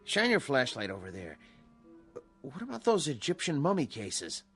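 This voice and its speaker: An actor playing a calm, authoritative doctor giving orders in a radio drama. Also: male voice, medium pitch, normal speed, husky, faint music behind, exaggerated voice. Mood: firm.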